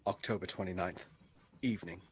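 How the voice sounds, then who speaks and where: An actor in a radio play voicing a sober, anxious Englishman who reads out his journal in a measured, grave way. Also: deep voice, steady tone, slight background noise, close to an everyday voice.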